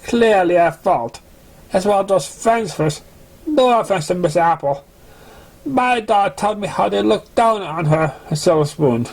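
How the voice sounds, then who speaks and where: A man's voice: high-pitched, expressive, measured pace, voice pushed up, full character voice, rough recording. A man voicing a rich, snobbish stallion father who complains haughtily in defense of his child. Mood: indignant.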